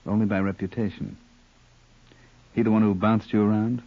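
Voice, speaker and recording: An American actor playing a cool, cultured hired killer in an old radio crime drama, unhurried, musing and dryly polite. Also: male voice, lowish voice, flat delivery. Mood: coolly businesslike. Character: cool, cultured hired killer who loves classical music. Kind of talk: 1940s-50s radio crime drama acting. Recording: dull old-time radio recording.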